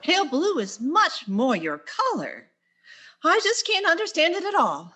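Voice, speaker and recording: Bright, wisecracking woman English teacher played by an actress. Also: high-pitched, huge pitch swings, unhurried, heavily acted. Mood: wry. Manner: dry, sardonic delivery. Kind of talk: 1940s radio sitcom acting.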